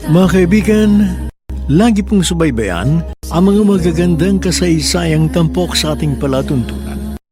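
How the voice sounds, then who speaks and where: A man announcing a radio drama in a theatrical, measured, scripted style. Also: fairly high pitch, lively intonation, over music.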